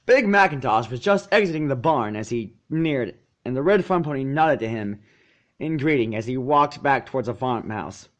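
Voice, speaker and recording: A man reading a story aloud as narrator, expressive, with dramatic, deliberate emphasis. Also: mid-range voice, highs cut off. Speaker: fan fiction narrator.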